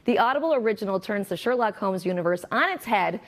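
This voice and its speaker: A woman hosting a TV talk show interview, upbeat and quick to answer with short yeses. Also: mid-range voice, expressive. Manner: upbeat, quick affirmations, laughs easily. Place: TV studio.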